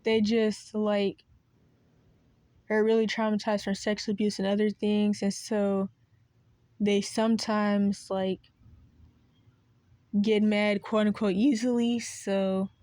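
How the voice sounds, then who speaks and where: A woman's voice: medium pitch, little pitch movement, takes it slowly, long pauses, warm tone, no echo. Rambling woman with a cracking voice. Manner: meandering, loses her train of thought and restarts. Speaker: video creator. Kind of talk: rambling unscripted monologue.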